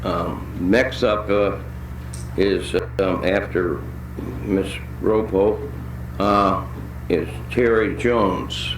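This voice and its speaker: A man with a slightly low voice makes procedural announcements as meeting chair. He goes extremely slowly and haltingly, and the room is echoey with faint background noise. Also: steady tone. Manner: halting, hesitant. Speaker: meeting chair calling speakers. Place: indoor public meeting room.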